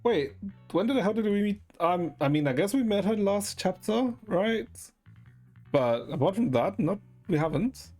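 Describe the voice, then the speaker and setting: A man does casual game commentary in a relaxed, mellow voice that sits a little high. He mutters short reactions to himself as he plays. Music plays softly behind him. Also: normal speed. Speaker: game streamer.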